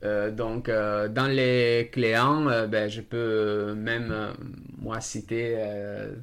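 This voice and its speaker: A man whose first language is Italian, talking in a radio discussion, slow and halting with many hesitations. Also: on the low side, flat delivery, fairly bright tone, clean tone. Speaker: association member.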